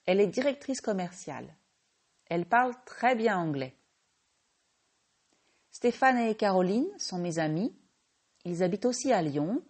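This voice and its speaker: A French woman who teaches French, hosting a slow, clear language-lesson podcast and patiently correcting her own small slips. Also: mid-range voice, expressive, a little mellow. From France.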